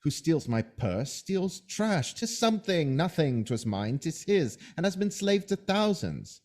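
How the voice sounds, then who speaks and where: An actor playing a scheming soldier who feigns honesty, in measured, insinuating Shakespearean verse for an audio drama. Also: male voice, a little high, very animated, darkish tone. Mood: cautious, insinuating.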